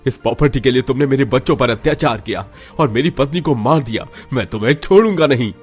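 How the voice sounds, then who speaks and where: A man's voice: mid-range voice, animated, quick pace, breathy edge, faint music behind. A man playing a devoted, wronged husband and father in a cartoon drama, emphatic and melodramatic. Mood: angry. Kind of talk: melodramatic Hindi cartoon dialogue.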